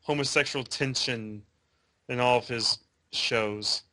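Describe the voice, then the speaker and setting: A man on a casual review podcast panel, quick to push back and argue his points. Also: mid-range voice, steady tone, pushed and bright. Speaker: podcast co-host.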